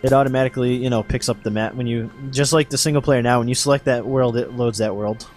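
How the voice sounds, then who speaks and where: Male podcast host, mid-pitched, casual and explanatory, somewhat halting, music underneath. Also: steady tone, a little mellow. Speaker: podcast host reading update news. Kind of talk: casual explanatory podcast talk about game updates.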